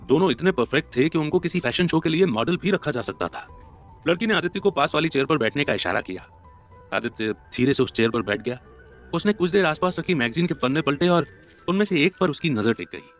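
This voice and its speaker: A man narrating a scripted dramatic story as an audio storyteller. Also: mid-range voice. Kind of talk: scripted dramatic story narration in Hindi mixed with English words. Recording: narration over background music. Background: background music.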